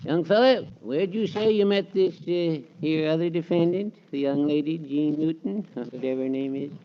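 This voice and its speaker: Man acting a high-pitched cranky country judge. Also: moderate pace, natural voice. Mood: grumbling, questioning. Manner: folksy, rambling, exasperated. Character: cranky folksy old small-town judge calling for order. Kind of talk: 1940s radio comedy acting. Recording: noisy muffled 1940s radio recording.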